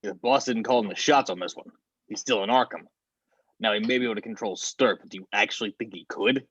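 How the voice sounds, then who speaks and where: A man playing a scornful, skeptical tough in an amateur audio drama, with a husky voice. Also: on the low side, fast, fairly bright tone, no room sound, highs cut off.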